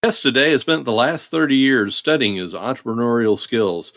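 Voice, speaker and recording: A man in his forties hosting a podcast, reading a guest intro and then chatting in a steady way. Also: mid-range voice, normal speed, dry recording, studio quality. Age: 40s. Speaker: podcast host.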